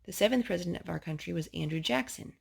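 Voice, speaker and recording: A woman with a slightly husky voice reading a children's picture book aloud as a storytime host. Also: on the low side, animated, fast talker.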